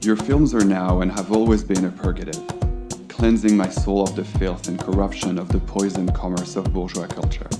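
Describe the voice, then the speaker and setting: A very low-voiced film director, played by a man, pours out ornate, worshipful devotion. The delivery is literary and ardent, like a love letter read aloud in an audio drama. Plucked guitar music plays clearly behind the voice. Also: level tone, moderate pace, close to an everyday voice. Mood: impassioned devotion. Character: French film auteur, ardent, florid romantic letter writer.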